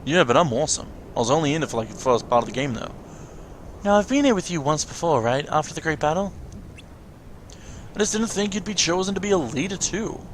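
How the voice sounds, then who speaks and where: A man's voice: fairly high pitch, animated, quick pace, slight background noise. A man hosting a game playthrough, reading dialogue aloud playfully with joking asides. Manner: playful, puts on character voices. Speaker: let's play host. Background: video game music.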